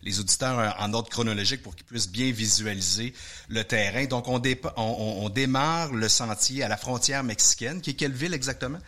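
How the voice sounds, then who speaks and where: Québécois host asking questions. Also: male voice, mid-range voice, a little fast, pushed and bright. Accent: Québécois French. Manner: guiding questions, filler-heavy. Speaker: radio show host. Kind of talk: casual interview.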